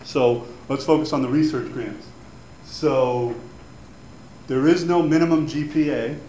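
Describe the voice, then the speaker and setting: A man's voice: medium pitch, steady tone, unhurried, mellow. A man born in Cuba, a native Spanish speaker, talking informally to students about a grant program, chatty and rambling with many fillers and restarts. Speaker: advisor giving an info session. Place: room with an audience. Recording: echoey room, faint background noise.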